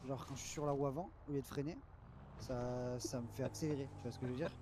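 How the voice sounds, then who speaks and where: A man's voice: medium pitch, steady tone, fast talker, noisy background. A young man talking in casual, slangy, confrontational chat while playing an online roleplay game.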